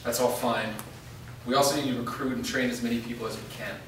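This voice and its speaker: A man's voice: medium pitch, steady tone, fast talker, lightly acted. A man playing a terse, grim fighting leader in a staged fantasy drama reading. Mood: grim resolve. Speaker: actor. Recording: echoey room, distant mic, clear noise.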